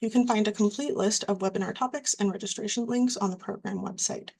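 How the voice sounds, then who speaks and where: Gravelly-voiced woman presenting slides. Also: mid-range voice, fast talker. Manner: steady, scripted delivery. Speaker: webinar presenter.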